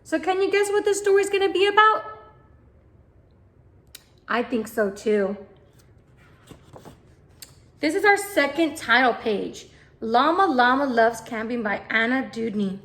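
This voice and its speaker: A woman reading a picture book aloud to young children, expressive and often putting questions to the listener. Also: high-pitched, a little slow, long pauses. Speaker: children's read-aloud host, teacher.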